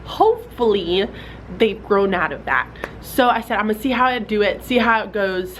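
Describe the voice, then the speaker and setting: A woman's voice: mid-range voice, expressive, normal speed, fairly bright tone, slight background noise, poor audio. A young woman, a fourth grade teacher, talking casually in a vlog, a little stuffy from a cold, with lots of "like" and "um". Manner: chatty, filler-heavy with "like" and "um". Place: classroom.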